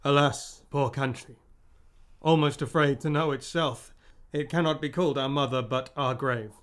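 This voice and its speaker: A man's voice: medium pitch, fairly even intonation, normal speed, a bit bright, natural voice. An actor playing a sombre nobleman who bears grim news, in classical radio drama style. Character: Ross, sombre nobleman bearing terrible news.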